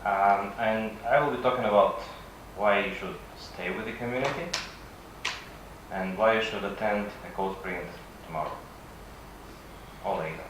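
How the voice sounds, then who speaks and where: A man with a Russian accent, a native Russian speaker, giving a halting conference presentation with frequent pauses. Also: on the low side. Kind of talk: conference presentation, semi-improvised. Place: conference session room. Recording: echoey room from far off, faint noise.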